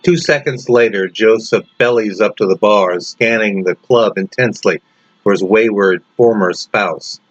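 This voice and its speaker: Low-voiced male story narrator over music. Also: a little slow. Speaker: author reading own story. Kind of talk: dramatic scripted audiobook narration. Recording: dull, lo-fi narration track.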